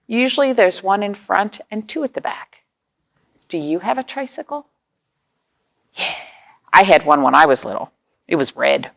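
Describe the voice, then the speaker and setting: A woman's voice: medium pitch, creaky, fairly bright tone. A woman hosting a children's storytime, reading aloud, explaining things simply and asking the young listeners questions.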